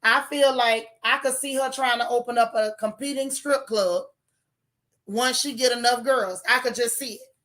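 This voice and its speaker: A hoarse woman hosts a casual livestream, her voice pitched a little high and bright. She talks straight to her chat, animated and opinionated. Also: normal speed. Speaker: channel host reviewing a TV show.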